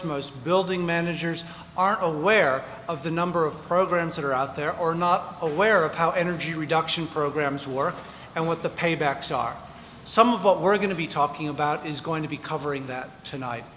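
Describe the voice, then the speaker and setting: A man's voice: on the high side, a bit bright, slight background noise. A man speaking as a panelist on real estate, casually polling the audience. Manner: conversational, polls the room. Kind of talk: panel talk engaging the audience. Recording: reverberant room, faint ambience.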